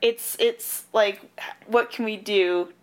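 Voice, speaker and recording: A woman's voice: mid-range voice, steady tone, slow. A young woman from Canada, co-hosting a podcast in casual chat, talking in a blunt, wry, conversational way. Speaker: podcast co-host.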